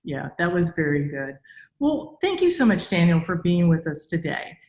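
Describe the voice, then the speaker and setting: A woman's voice: fairly low pitch, sing-song, normal speed, darkish tone. An American woman in her fifties casually hosting an interview podcast. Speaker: podcast host, author. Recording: roomy, echoey sound.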